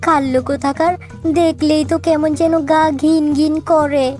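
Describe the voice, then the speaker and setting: A woman's voice: sits high, flat delivery, normal speed, darkish tone, close to an everyday voice. A woman voicing a sassy, stubborn young village daughter in a cartoon, childlike and emphatic. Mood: disgusted, defiant. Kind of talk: cartoon dialogue dubbing. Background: music.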